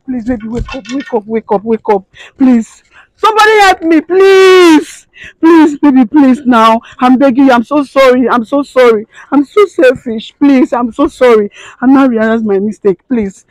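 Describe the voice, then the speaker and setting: A woman's voice: mid-range voice, moderate pace, darkish tone, no echo, lightly acted, low-quality recording. An actress playing a young wife who is worn down by her blind husband, talking in pleading, repeated phrases. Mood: frantic. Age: young adult. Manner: pleading, repeating words over and over. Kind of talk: dramatic skit acting.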